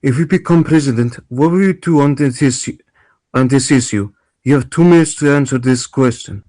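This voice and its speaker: A man reading the part of a formal debate moderator in an amateur play table read, deliberate and repeating the odd word. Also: mid-range voice, darkish tone, close to an everyday voice. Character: formal, measured male presidential debate moderator.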